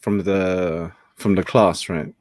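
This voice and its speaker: A man from England, whose was Cantonese. He hosts a casual talk show and speaks in a halting, reflective way, full of fillers. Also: deep voice, fairly even intonation, darkish tone, no room sound. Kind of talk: casual conversation.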